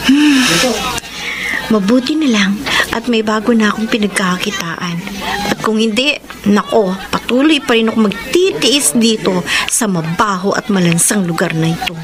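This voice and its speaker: A Filipino actress playing a feisty, expressive young mother and former market vendor in a radio drama. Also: medium pitch, moderate pace, close to an everyday voice. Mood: musing. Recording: noisy background ambience.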